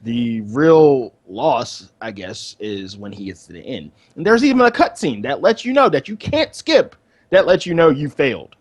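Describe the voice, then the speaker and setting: A man co-hosting a casual gaming podcast, speaking informally in halting phrases with dry understatement. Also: mid-range voice, big pitch swings. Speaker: podcast co-host.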